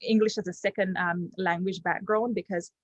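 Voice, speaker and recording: A young Jamaican woman, Patois her first language, talks through a testimonial in her Jamaican accent at a middling pitch. She halts often, filling gaps with ums and restarting phrases. The recording is dry, with no room sound. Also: fairly even intonation, normal speed, dry recording, muffled recording. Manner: hesitant, many ums and restarts. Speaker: client giving a testimonial. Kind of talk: unscripted testimonial.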